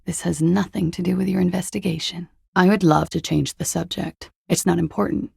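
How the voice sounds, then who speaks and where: A woman playing a cool, evasive young former beauty queen in a video game, speaking in a languid, dry, sardonic way with a husky, breathy voice. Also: on the low side, fairly even intonation, a little fast, a little mellow, dry recording, exaggerated voice. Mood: guarded. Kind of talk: video game dialogue, low-key and naturalistic.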